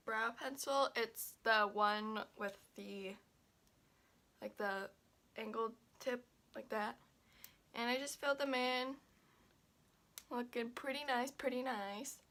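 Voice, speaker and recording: Stuffy young woman, bright chatty voice. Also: a little high. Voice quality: slightly raspy. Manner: chatty, rambling, lots of fillers and restarts. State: stuffy and congested. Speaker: beauty vlogger. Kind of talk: casual makeup tutorial talk-through.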